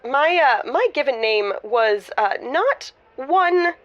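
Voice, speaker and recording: A woman playing a giddy fake doctor in an improvised comedy audio show, confessing haltingly and then gushing theatrically. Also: high voice, animated, fairly bright tone, lo-fi sound, full character voice. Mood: sheepish, evasive. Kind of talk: improvised comedy audio drama, radio call-in.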